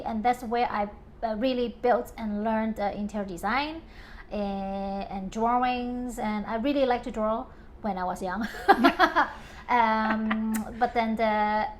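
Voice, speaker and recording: A woman's voice: fairly high pitch, slight background noise. A woman from Hong Kong talking casually in an interview about her home and design, with many fillers and frequent laughs.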